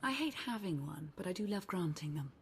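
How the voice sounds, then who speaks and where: An actress playing a confident, teasing and encouraging advisor in a video game, with a husky voice. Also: female voice, fairly low pitch, animated, a little fast, a little hiss or hum. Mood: assured, teasing. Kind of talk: game cutscene acting.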